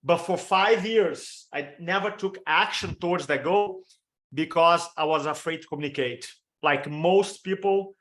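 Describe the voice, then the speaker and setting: A fairly high-voiced man in his fifties with a Brazilian Portuguese accent, coaching an audience on a webinar. He talks with energy and rattles off lists of examples. The sound comes through a video call. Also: fairly bright tone. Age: 50s. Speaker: motivational speaker and storytelling coach. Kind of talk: training talk on a webinar.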